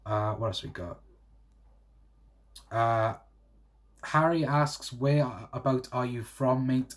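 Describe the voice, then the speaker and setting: A man's voice: mid-range voice, slow. A man in his twenties from South Wales with a Welsh accent, chatting casually on a livestream and reading out viewer comments, rambling with lots of fillers. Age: 20s. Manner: rambling, many fillers and "to be fair". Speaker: livestream host.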